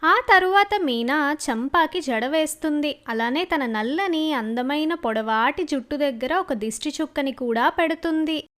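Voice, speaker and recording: A woman's voice: sits high, a little slow, a bit bright. A woman reading a scripted children's story as its narrator.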